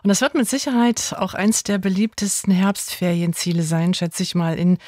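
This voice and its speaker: A woman's voice: on the low side, normal speed, darkish tone, no room sound, clean studio sound. A woman radio presenter who moderates the show in an upbeat, enthusiastic way.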